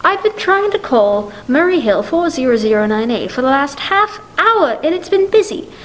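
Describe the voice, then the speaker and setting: Woman acting high-pitched, flustered, demanding housewife. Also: very animated, normal speed, fairly bright tone, a little hiss or hum, low-quality recording. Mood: anxious, impatient. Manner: flustered, imperious, stammering insistence. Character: nervous, demanding, indignant wealthy housewife alone at night. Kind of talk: 1940s radio play acting. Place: dark stage, masked, speaking into prop telephone.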